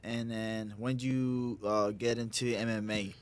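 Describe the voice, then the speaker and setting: A man's voice: fairly low pitch, flat delivery, unhurried, smooth. Guy co-hosting, rambling slangy stories, swears. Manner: loose slangy storytelling, swears. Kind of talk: casual chat.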